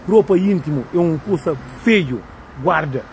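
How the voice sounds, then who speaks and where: A woman's voice: sits low, expressive, a little slow, darkish tone, slight background noise. A woman who makes videos, delivering a rambling, repetitive rant straight to her viewers. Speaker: video creator. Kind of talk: ranting monologue to viewers.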